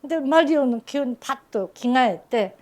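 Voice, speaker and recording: A woman's voice: high voice, animated, normal speed. A woman who runs a teahouse and works as a geisha, telling fond stories in an interview with frequent laughter. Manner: chatty storyteller, fond, often laughing. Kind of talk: reminiscing interview chat.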